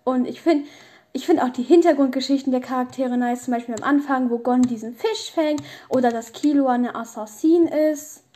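High-pitched young woman, mellow. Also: normal speed. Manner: informal youth slang, rambling and opinionated. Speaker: podcast host. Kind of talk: casual solo podcast chat.

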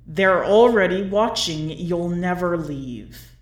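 A woman's voice: lowish voice, animated, slow, echoey room. A woman voicing an ominous, bitter prophet who gives terse, incantatory warnings in a storybook reading.

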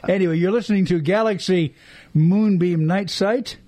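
An older man hosts casual radio chat in a high-pitched, mellow voice. His manner is informal and jokey. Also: normal speed. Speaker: radio show host.

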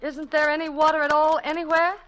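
A woman's voice: high-pitched, level tone, a little fast, fairly bright tone, soft background music. A woman playing an eager, optimistic wife in a 1940s radio comedy, coaxing and soothing her husband. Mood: anxious hopeful. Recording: very noisy vintage 1940s radio broadcast.

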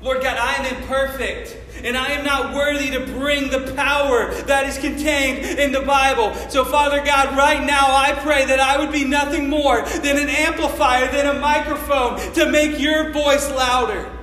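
A high, bright-voiced male pastor preaches in a loud, excitable, casual way and prays with a fervent, repeating cadence. His voice rings through a big echoey church hall, with a long reverb tail and faint room noise behind it. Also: moderate pace, hardly any pauses. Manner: fervent, repetitive "Father God" prayer cadence. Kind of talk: sermon and prayer.

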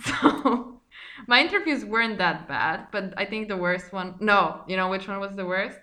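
Young woman, twenties, Polish accent, bright voice, giggly, casual podcast chat. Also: mid-range voice, normal speed. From Poland. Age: 20s. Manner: giggly, swearing, self-mocking. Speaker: podcast co-host.

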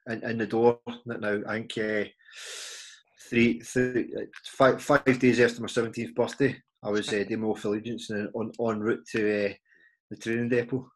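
A man in his forties with a Glaswegian Scottish accent and a fairly low voice talks through his past. He stops and restarts often, doubles his words and fills the gaps as he goes. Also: low-quality recording. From Scotland. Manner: halting, repeats words, many fillers. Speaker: podcast guest. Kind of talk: casual interview chat.

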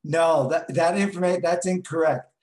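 A man in his late sixties with a New York accent, telling his story slowly as an interview guest, pausing on many ums. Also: a little high, steady tone, a little mellow, echoey room. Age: 60s. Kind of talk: interview answers.

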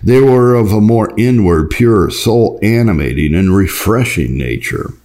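Male audiobook reader, deep pitch, soft dark tone, measured, earnest, formal devotional reading. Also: expressive. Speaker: audiobook narrator. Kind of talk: scripted devotional reading of an old text.

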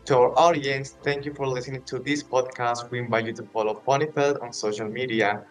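A man's voice: mid-range voice, fairly even intonation, a little fast, faint music behind. Male podcast host, polite and formal in the sign-off.

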